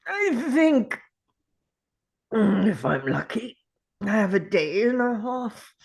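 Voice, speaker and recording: An actor playing a dying, grim and weary alien Time Lord in a dramatic script read, with a halting, pained delivery full of sighs. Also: mid-range voice, very animated, creaky, a little mellow, slightly rough, heavily acted. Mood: pained, resigned.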